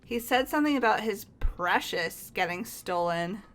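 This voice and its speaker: A woman playing an excitable, candid young character in a fan-voiced game, with informal, exclamatory delivery. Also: mid-range voice, normal speed, faint music behind, natural voice. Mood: concerned, puzzled.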